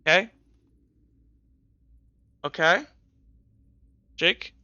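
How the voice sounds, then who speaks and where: A man running a tabletop game, improvising narration and chatting casually in a halting way, often repeating words. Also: sits high, sharp tone, dry recording, dull audio. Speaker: game master.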